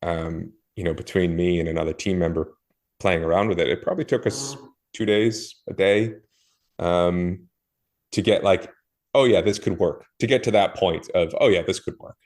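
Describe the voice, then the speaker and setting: Very deep-voiced man explaining at length. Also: fairly even intonation, normal speed, a little mellow. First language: not Portuguese. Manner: thoughtful, many fillers and restarts. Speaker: guest, e-commerce marketer. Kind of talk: casual podcast conversation.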